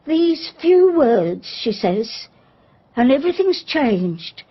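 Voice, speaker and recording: High, husky, flustered English housekeeper. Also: female voice, slow, lo-fi sound, heavily acted. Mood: confiding. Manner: deferential, flustered, animated. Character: loyal, deferential, gossipy English female housekeeper. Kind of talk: radio drama acting.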